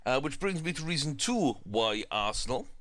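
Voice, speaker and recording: A man's voice: mid-range voice, very animated, normal speed, a bit bright, no echo. A young man with a South Welsh accent, chatting casually through a football management game with lots of fillers and restarts. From South Wales. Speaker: gaming YouTuber.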